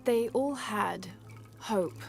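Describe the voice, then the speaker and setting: A woman's voice: fairly high pitch, very slow, vocal fry, exaggerated voice. A woman playing a thoughtful, courteous young adventurer in a video game cutscene, reserved and sincere. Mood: reflective. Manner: reserved, sincere, brief answers. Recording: music under the voice.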